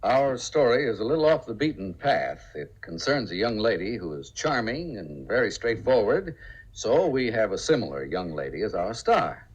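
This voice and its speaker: Animated male 1950s radio host. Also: lowish voice, moderate pace, husky, highs cut off. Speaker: theater director and host. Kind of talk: formal 1950s radio hosting and narration. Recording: vintage radio broadcast, faint music.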